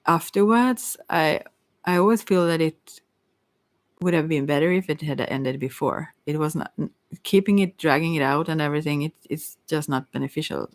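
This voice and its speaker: A tarot reader talking casually and hesitantly, thinking aloud while answering questions, in a soft voice that at times drops to a whisper. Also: mid-range voice, normal speed, no room sound. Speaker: tarot reader hosting a live reading.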